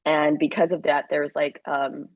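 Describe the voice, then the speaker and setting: Low husky woman, chatty guest. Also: unhurried, no room sound, highs cut off. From Kenyan-American, raised in the US, Canada and South Africa. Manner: animated, laughs often, talks in loose run-on phrases. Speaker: guest, actor and writer. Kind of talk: casual interview chat.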